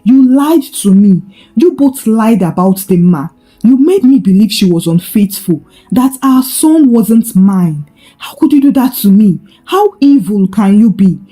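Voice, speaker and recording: A woman's voice: mid-range voice, lively intonation, unhurried, mellow, faint music behind. A woman storyteller voicing an embittered husband who feels betrayed, in a dramatised folktale. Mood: angry, betrayed.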